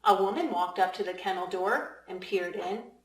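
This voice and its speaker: A woman narrates a children's story in read-aloud style. Her voice sits at a middle pitch and she shapes phrases deliberately and expressively for young listeners. The room adds a clear echo around her. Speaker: children's author reading aloud. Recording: echoey room.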